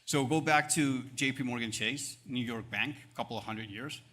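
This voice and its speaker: Upbeat banker with an Argentine accent. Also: male voice, medium pitch, normal speed, bright, forceful tone. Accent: Argentine Spanish. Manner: upbeat, promotional, piles up figures with fillers and restarts. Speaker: banking executive. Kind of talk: live panel interview on stage. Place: event stage.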